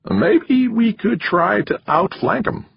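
A wiry, edgy campaign manager, put on by a male narrator, with a thin, scratchy voice pitched well above the narrator's own. He pushes and insists, his tone leaping around as he argues. The audiobook recording sounds dull and lo-fi. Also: lively intonation, slow, gravelly, slightly rough, full character voice. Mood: insistent. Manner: pushy, urgent persuading.

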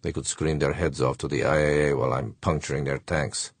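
A man voicing a cold, calculating, menacing killer in a measured way, for a space adventure audiobook. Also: extremely low pitch, level tone, creaky, slightly rough, no echo, full character voice. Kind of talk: audiobook character thoughts.

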